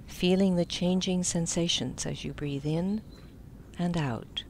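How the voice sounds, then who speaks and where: A woman leading a guided meditation as an instructor, speaking gently with soft phrase endings. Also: lowish voice, a little hiss or hum.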